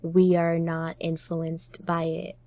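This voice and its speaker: A woman's voice: fairly low pitch, monotone, slow, warm tone, no room sound. A woman hosting a podcast, talking in a casual, reflective way. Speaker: podcast host.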